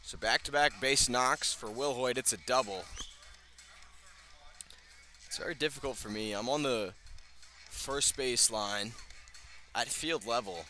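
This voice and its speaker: Bright-voiced male baseball play-by-play announcer. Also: mid-range voice, a little slow, long pauses, soft background music. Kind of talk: live baseball play-by-play commentary. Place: outdoors at a ballpark, field level by the first base line.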